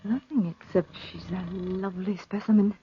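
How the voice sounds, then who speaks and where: A woman's voice: mid-range voice, steady tone, moderate pace, warm tone, slight background noise, natural voice. An actress playing a fearful, secretive desert woman, pleading and breathless, in 1940s radio drama style. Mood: wary, dismissive. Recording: muffled vintage 1940s radio recording.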